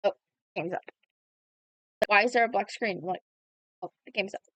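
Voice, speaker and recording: A woman's voice: mid-range voice, moderate pace, a bit bright, a little hiss or hum, low-quality recording. A woman hosting a game livestream, reading aloud and reacting as she plays. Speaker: livestream host.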